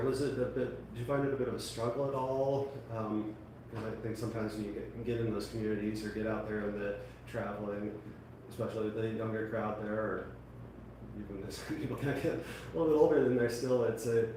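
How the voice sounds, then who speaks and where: Male interview host, lowish voice, rambling and hesitant, far from the mic, very echoey room with noise. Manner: rambling, hesitant, many fillers. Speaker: podcast host. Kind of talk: casual interview.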